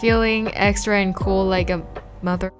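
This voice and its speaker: A woman's voice: medium pitch, fairly even intonation, normal speed, loud music behind the voice. Chatty young Thai woman vlogging. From Thailand. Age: young adult. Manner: chatty and informal, with frequent "like" and "um". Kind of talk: casual study-tips vlog.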